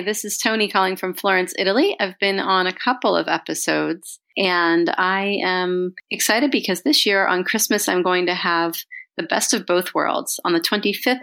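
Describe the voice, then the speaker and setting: Brief: low-voiced woman with a gravelly edge, chatty upbeat contributor message. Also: a bit bright. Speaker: podcast contributor. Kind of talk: recorded voice message.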